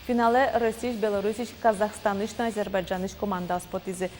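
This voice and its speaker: A woman reading the regional news as an anchor in a steady, scripted delivery. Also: medium pitch, brisk, faint music behind. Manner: steady, even newsreader delivery. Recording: long reverb, faint noise.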